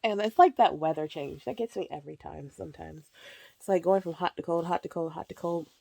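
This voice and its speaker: A woman's voice: lowish voice, fairly quick, vocal fry, dark tone, a little hiss or hum. Chatty thirty-something woman, a little nasal. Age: 30s. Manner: rambling, laughs often, lots of "like" and "um"; packing clothes while talking. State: slightly nasal from a cold. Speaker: vlogger. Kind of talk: casual chat. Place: child's bedroom at home.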